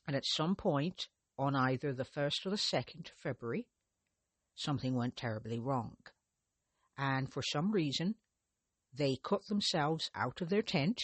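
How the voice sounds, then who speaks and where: A man's voice: a little high, no echo. A man hosting his own podcast, narrating a mystery in a conversational way with frequent restarts and hesitations. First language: not Russian. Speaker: podcast host.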